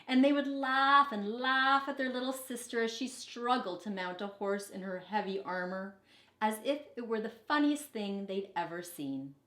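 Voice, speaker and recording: A woman teacher reading a children's storybook aloud in an expressive, deliberate way, with brief teaching asides. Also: a little high. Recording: echoey, reverberant room.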